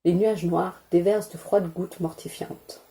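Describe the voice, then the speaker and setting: A woman softly reading poetry aloud in a calm, deliberate ASMR style, spoken rather than whispered. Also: fairly low pitch. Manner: measured, deliberate, soothing delivery. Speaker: ASMR creator reading poems.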